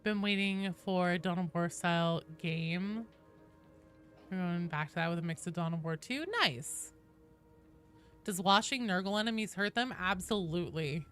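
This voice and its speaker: A woman's voice: lowish voice, a bit bright. A woman in her late thirties chatting casually on a game livestream and reading out viewer messages, informal and sweary. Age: late 30s. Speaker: game streamer.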